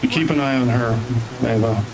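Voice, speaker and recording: A man's voice: medium pitch, fairly quick, a crowd in the background, natural voice, low-quality recording. A man playing a genial, wry knight of a church order in improvised online roleplay.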